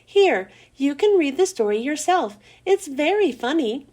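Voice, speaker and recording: Woman as brisk, high-voiced schoolteacher. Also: big pitch swings, darkish tone. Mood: encouraging. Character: brisk female schoolteacher in a storybook, firm, questioning.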